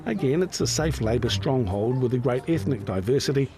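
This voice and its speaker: A man narrating a documentary in a measured, even scripted delivery. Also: medium pitch. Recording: narration with clear music behind.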